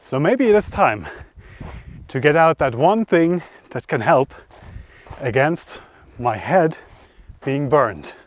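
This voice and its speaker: A man's voice: fairly high pitch, expressive, takes it slowly, pauses often. A man hosting a travel vlog, talking casually and conversationally to camera outdoors, often thinking aloud. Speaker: travel vlogger, host. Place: outdoors by roads, lakeside and mountains.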